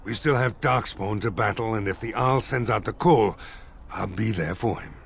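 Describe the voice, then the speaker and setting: A man's voice: on the low side, moderate pace, gravelly, a bit bright, slight background noise. An actor playing a weary village militiaman who speaks bluntly and plainly.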